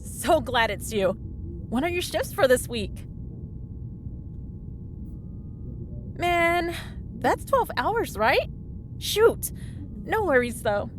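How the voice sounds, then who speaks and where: A woman's voice: high voice, big pitch swings, slow, over music, exaggerated voice. Woman acting a smug, sarcastic supervillainess. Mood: excited, friendly. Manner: breezy, sarcastic teasing. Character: cheeky, smug woman supervillain, frequent hospital patient. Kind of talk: comedic audio roleplay acting.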